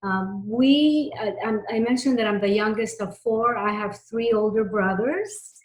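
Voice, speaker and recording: A woman's voice: fairly high pitch, unhurried. A middle-aged woman from Miami of Cuban family answering interview questions in an earnest, conversational way, repeating points for emphasis. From Miami, United States, of Cuban family. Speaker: interview guest, technology executive. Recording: roomy, echoey sound.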